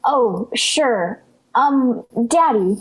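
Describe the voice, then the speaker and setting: A woman's voice: a little high, animated, drawn-out words, low-quality recording. A woman playing a dramatic, cheeky little schoolgirl daughter in a 1940s radio sitcom. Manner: whiny, overdramatic.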